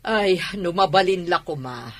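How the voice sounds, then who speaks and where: A woman acting a haughty, disapproving mother in an Ilocano radio drama. The voice is husky and swings widely up and down, with an Ilocano accent. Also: lowish voice, expressive, unhurried. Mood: doting, scornful. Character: disapproving, haughty middle-aged mother who looks down on farm folk.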